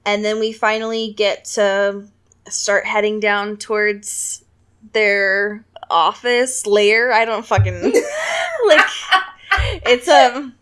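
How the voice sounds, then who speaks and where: A woman's voice: mid-range voice, lively intonation, slow pace, fairly bright tone, smooth. A woman podcast co-host in casual, dramatic fangirl chat about a book, full of slang and giggles. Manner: giggly, laughs often.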